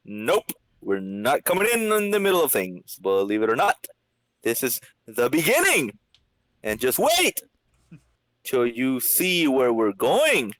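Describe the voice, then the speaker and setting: A man's voice: medium pitch, wildly swooping pitch, unhurried, a bit bright, no room sound, rough recording. A male podcast co-host chatting casually and giving mock-announcer introductions as the narrator.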